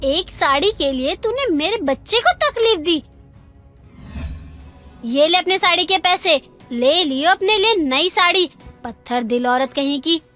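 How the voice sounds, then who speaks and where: Woman as a protective angry mother, extremely high, forceful, indignant scolding, cartoon melodrama. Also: animated, a little slow, faint music behind, heavily acted. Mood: furious. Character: fierce, protective young mother. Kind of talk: melodramatic animated story dialogue.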